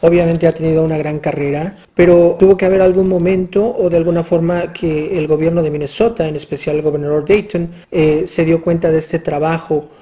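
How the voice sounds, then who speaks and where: Mellow, measured interviewer. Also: mid-range voice, steady tone. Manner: measured, with hesitant fillers. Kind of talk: interview questions.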